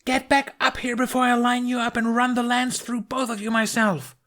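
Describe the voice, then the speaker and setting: A man voicing a bossy, hot-tempered young warrior woman in a storybook, scolding forcefully. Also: very high-pitched, little pitch movement, normal speed, pitched above the performer's own voice. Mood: angry, scolding.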